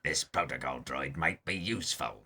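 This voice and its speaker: A man giving casual live reaction commentary as a show host, excitable and quick to exclaim. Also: a bass voice.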